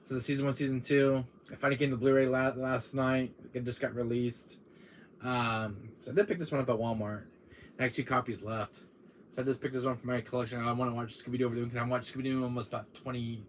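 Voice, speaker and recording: A man with a deep voice hosting a casual gaming live stream, rambling with many fillers while talking to his chat, tired from a cold. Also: flat delivery, fast, a little hiss or hum. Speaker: gaming channel host.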